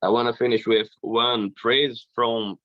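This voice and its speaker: A man from Brazil, giving a halting, earnest personal faith testimony at a conference. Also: mid-range voice, level tone, no room sound, highs cut off. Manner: slow, halting, earnest, with frequent "you know".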